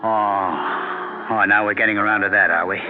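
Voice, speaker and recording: An actor playing a paranoid, bitter husband in a 1970s radio drama, suspicious and sardonic. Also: male voice, on the low side, steady tone, normal speed, faint music behind, natural voice. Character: paranoid, bitter husband who suspects everyone plots against him. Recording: band-limited, tinny 1970s radio broadcast recording.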